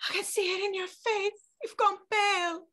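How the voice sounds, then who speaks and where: An actress playing a frightened young niece in an audio drama, pleading tearfully and insistently. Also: female voice, very high-pitched, little pitch movement, normal speed, bright, forceful tone. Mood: anguished insistence.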